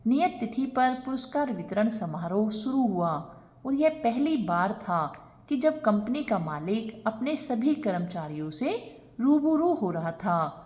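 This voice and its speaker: A woman's voice: fairly high pitch, big pitch swings, takes it slowly, darkish tone. A woman narrating a scripted moral family story as a storyteller.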